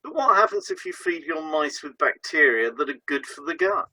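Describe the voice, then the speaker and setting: A man's voice: fairly high pitch, sing-song, normal speed, pushed and bright, low-quality recording. A man co-hosting an educational podcast, explaining from a script. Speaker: podcast co-host and hypnotherapist.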